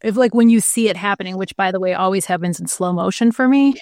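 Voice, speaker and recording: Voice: medium pitch, fast talker, darkish tone, no echo, studio quality. Friendly host asking short questions. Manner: friendly, asks short questions, laughs often. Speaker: podcast host. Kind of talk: conversational interview.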